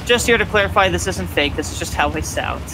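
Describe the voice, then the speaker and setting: A young man with an American accent and a naturally high voice, casually coaching someone through a video game. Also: animated, quick pace, background noise. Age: young adult. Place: home gaming room, indoors.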